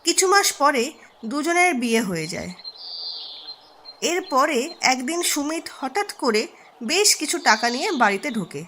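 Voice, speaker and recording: A woman's voice: high-pitched, sing-song, measured pace, long pauses, bright, forceful tone, slight background noise. A woman narrating a scripted cartoon storybook tale.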